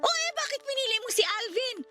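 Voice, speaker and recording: A woman playing a sharp-tongued, bossy, gossipy aunt in a Filipino radio drama, exclaiming bluntly with a Filipino accent. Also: very high voice, animated, fast, faint music behind, exaggerated voice. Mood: mocking, gossipy.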